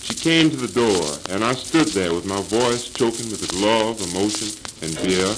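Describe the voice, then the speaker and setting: A hapless, henpecked husband played by a man lectures with mock-earnest theatrical delivery in an old-time comic monologue. The voice is fairly low, under the clear crackle and hiss of a 1930s 78 rpm record. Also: lively intonation, normal speed, natural voice. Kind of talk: early 20th century comic monologue.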